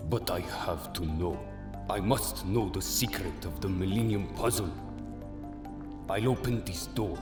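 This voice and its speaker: A man acts out a mysterious Egyptian mystic for a manga audio drama. The voice is deep and grave, drenched in echoing reverb over clear background music. Every phrase is weighty and portentous. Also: measured pace. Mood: wary, searching. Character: solemn, mysterious Egyptian mystic man wielding ancient magical items. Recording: echoey, long cavernous reverb.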